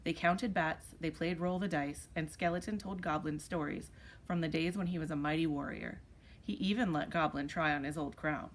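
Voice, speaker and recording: A woman's voice: sits low, fairly even intonation, moderate pace, slight background noise. A woman teacher reading a children's storybook aloud expressively.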